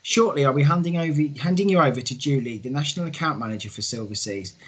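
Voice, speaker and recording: A man's voice: medium pitch, quick pace, breathy edge. A man hosting a virtual holiday show, reading a prepared welcome and introduction. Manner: reads a prepared script. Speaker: travel company host.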